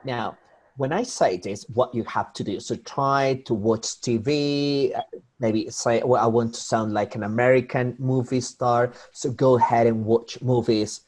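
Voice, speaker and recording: A man's voice: mid-range voice, steady tone, a little slow, a little mellow. Spanish-accented male English teacher, explanatory classroom delivery, talks directly to students. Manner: explanatory, addresses students directly, many restarts. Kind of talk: online class lecture.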